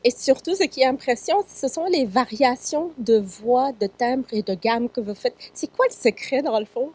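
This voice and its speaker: A woman's voice: high voice, moderate pace, darkish tone, breathy edge. A woman hosting a studio interview, speaking in polished, formal phrasing with an occasional filler. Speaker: host.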